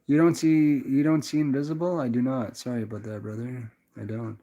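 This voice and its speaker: A relaxed young Canadian man talks loosely to his viewers at a medium pitch. He rambles, restarts his thoughts and keeps calling people brother. The sound is a little dull and lo-fi. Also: brisk. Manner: laid-back, rambling, calls everyone brother. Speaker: livestream host reading chat. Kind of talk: casual livestream chat.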